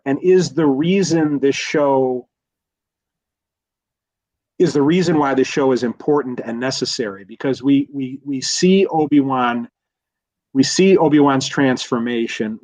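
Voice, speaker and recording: A man in a casual analytical discussion, speaking haltingly and repeating words as he thinks aloud. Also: medium pitch, darkish tone, dry recording, low-quality recording. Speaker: guest expert.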